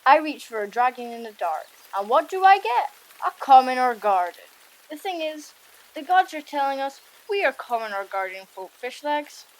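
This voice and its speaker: A self-deprecating young Viking boy, played by a woman in an audiobook. The voice is high and swings animatedly in pitch, full of self-doubt. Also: sing-song, moderate pace, rough recording. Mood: glum, resigned. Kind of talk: audiobook character acting.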